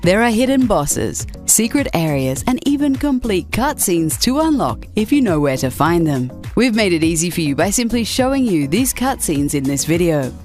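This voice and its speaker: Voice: mid-range voice, big pitch swings, moderate pace, few pauses, a little mellow, soft background music. A narrator reading a scripted video game countdown as a voice-over.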